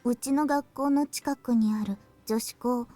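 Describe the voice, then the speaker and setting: A woman voicing a soft-spoken teenage girl in a visual novel, in an anime acting style. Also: on the high side, a little fast, soft background music, lightly acted. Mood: subdued. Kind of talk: Japanese anime game voice acting.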